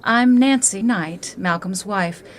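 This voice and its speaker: A woman's voice: mid-range voice, takes it slowly, a little hiss or hum, natural voice. A woman playing a polite, efficient bookkeeper and loyal boss's wife in a radio drama, courteous and precise. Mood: courteous, composed.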